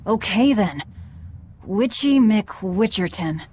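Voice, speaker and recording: An aloof young woman fighter in a game scene. She is curt and deadpan, with a medium-pitched voice and a world-weary edge. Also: slow pace, slight background noise. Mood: deadpan, unimpressed. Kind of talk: English video game voice acting.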